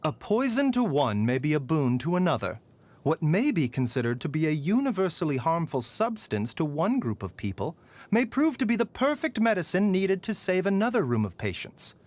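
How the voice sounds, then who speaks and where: Courteous scholarly doctor, lightly high-voiced. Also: male voice, very animated, darkish tone. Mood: quietly sly. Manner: polite, measured, formal phrasing. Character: courteous, scholarly, gently sly male physician. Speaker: game character voice actor. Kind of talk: scripted game voicelines.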